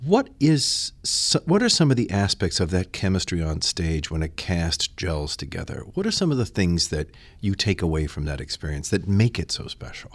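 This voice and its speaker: A man's voice: sits low, very animated, moderate pace, few pauses, no room sound. A middle-aged man hosting an arts interview, asking long, articulate and admiring questions. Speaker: interviewer, host.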